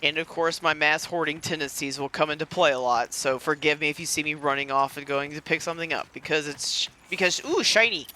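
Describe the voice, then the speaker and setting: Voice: mid-range voice, fairly even intonation, fast talker, hardly any pauses, pushed and bright. A gaming content creator giving casual, rambling, jokey let's play commentary. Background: faint game music.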